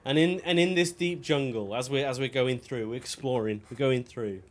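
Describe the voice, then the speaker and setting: A man's voice: medium pitch, moderate pace. Male game streamer, casual and conversational, rambling storyteller with dry asides. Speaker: game livestreamer. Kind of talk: casual chat while playing a video game.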